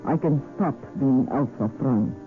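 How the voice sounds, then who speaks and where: Bold young lady spy, crisp and intense, old radio play, thin sound w faint music. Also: rumbling low, unhurried, narrow, tinny audio. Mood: intense, dramatic. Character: determined, daring woman secret agent in her late twenties. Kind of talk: 1940s radio acting.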